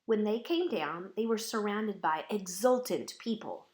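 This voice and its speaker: A woman reading a children's picture book aloud as a storyteller, with measured, deliberate and dramatic phrasing. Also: mid-range voice, lively intonation, a little mellow.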